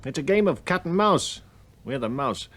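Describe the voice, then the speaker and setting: An actor playing a curt, scheming English husband under suspicion in a radio drama, guarded and sardonic in manner. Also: male voice, mid-range voice, very animated, normal speed, a bit bright, slightly rough. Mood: cold, scheming. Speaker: suspected husband in a radio play. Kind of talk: British radio drama acting.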